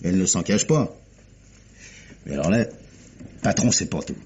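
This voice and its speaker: A man playing a chatty, eager police inspector in a mid-century French radio crime drama, telling his findings in a colloquial, animated way. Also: sits low, normal speed. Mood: excited storytelling. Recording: dull old radio recording, clear hiss.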